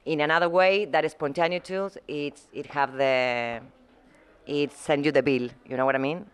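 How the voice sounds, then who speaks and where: A middle-aged woman from Argentina, speaking English as an in a halting, searching way as an interview guest. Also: sits low, steady tone, clean tone. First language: Spanish. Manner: halting, searching for words, frequent restarts. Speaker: muralist guest. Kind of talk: casual interview conversation.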